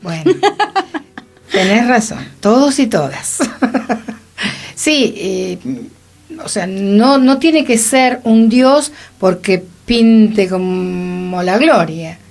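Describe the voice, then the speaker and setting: Slow-talking Argentine woman. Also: medium pitch, animated, vocal fry, a little mellow. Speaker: radio show panelist. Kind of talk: conversational discussion.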